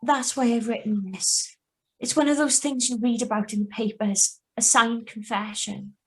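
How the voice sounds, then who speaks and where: A woman's voice: fairly high pitch, fairly even intonation, moderate pace, lightly acted. Woman acting a cool young murderess confessing. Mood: calm, confessional. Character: young wife Patrice, calm remorseless killer confessing. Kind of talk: live reading of a 1940s radio thriller. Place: video call reading from home.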